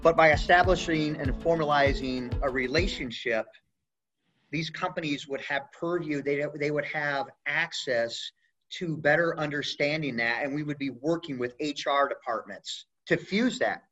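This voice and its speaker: A man's voice: on the high side, moderate pace, a bit bright, lo-fi sound, soft background music. A man in his forties from the US Midwest, speaking as an interview guest in a loose, rambling way with many fillers and restarts. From Midwest US (Indiana/Michigan). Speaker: guest, retired Air Force pararescueman. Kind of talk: casual interview talk.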